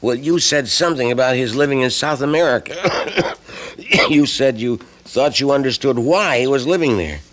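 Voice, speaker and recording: A man's voice: medium pitch, moderate pace, fairly bright tone, close to an everyday voice. A man playing an affable, coaxing lodger with a chesty cold, speaking hesitantly and folksily in an old-time radio mystery drama. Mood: affable, grateful. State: sick with a chesty cough. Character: ailing male lodger, affable, coaxing and evasive. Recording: noisy archival radio drama recording.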